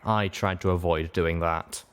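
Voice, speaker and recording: A man's voice: deep voice, fairly even intonation, a little slow, clean tone. A man reading scripted first-person fiction as an audiobook narrator.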